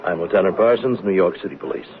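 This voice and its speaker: An actor playing a blunt, probing police lieutenant in a 1970s radio drama, questioning tersely and insistently. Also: male voice, low-pitched, fast. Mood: stern. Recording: narrow, phone-like vintage radio sound.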